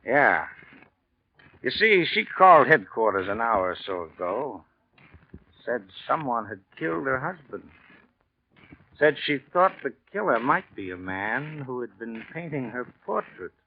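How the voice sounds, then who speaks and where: A man's voice: mid-range voice, sing-song, a little slow. A man playing a shrewd homicide lieutenant in 1940s radio drama, offhand and folksy but slyly probing. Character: shrewd, casual homicide police lieutenant, quietly suspicious. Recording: dull, noisy vintage radio recording.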